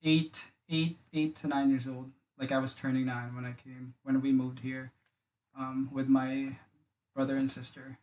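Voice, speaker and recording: A man's voice: mid-range voice, level tone, unhurried, darkish tone, low-quality recording. A young man from the Philippines, a podcast guest chatting casually in a small home studio, rambling with lots of filler words. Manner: rambling, many filler likes and restarts. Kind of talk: casual interview chat. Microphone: studio mic on boom arm, wearing headphones.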